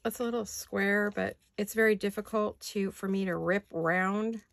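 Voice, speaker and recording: An older woman with a raspy voice chatting casually in her home craft studio, rambling and thinking aloud as she works. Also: mid-range voice, fairly even intonation, normal speed, no room sound. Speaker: craft vlogger. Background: neighborhood garbage-day banging.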